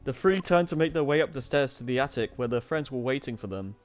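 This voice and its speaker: A man's voice: mid-range voice, animated, fast. A man reading storybook narration with casual asides, often stumbling and correcting himself. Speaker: narrator of a streamed fan-fiction reading.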